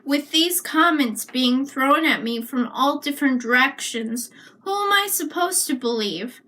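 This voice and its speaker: A young woman recites her own poem with a slow, deliberate delivery. Her voice sits high and sounds bright and clear. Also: expressive, slight background noise. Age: young adult. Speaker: student poet.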